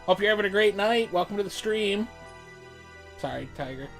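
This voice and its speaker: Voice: mid-range voice, lively intonation, normal speed. A game streamer chatting casually and commentating for a livestream, with music underneath. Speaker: game streamer and fandub host.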